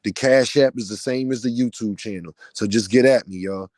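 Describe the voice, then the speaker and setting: Loud, heated man ranting with a deep voice. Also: moderate pace, no room sound, studio quality. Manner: loud, heated, preaching cadence. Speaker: vlogger, channel owner. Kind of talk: passionate rant to camera.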